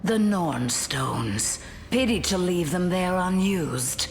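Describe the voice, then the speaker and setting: An actress playing a haughty, covetous villainess goddess in a video game, regal, drawling and disdainful. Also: female voice, on the low side, lively intonation, takes it slowly, big-room sound, faint music behind. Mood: gloating. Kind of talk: game voice acting.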